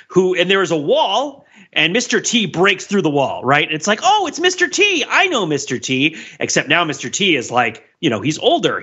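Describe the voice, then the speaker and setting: Male podcast host, rambling and very animated, bright husky voice, slightly dull recording. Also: medium pitch. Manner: rambling, tags sentences with "right?". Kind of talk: animated conversational commentary. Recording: dull, muffled lo-fi sound.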